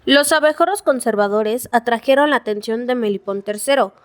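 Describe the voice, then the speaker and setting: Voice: mid-range voice, brisk. A child reading scripted narration for a school audio drama.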